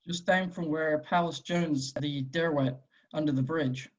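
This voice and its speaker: A man's voice: a little high, steady tone, unhurried. A man playing a weary, scowling hitman in his forties at an amateur table read, terse, wary and testy. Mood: puzzled, subdued. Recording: dull lo-fi voice recording.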